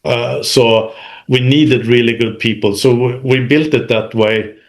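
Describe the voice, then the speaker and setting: A man talks as a guest in an interview. His voice sits at a middling male pitch. He tells his story conversationally, pausing and correcting himself as he goes. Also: steady tone. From Sweden. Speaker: interview guest, manufacturing executive. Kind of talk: recounting career experience in conversation.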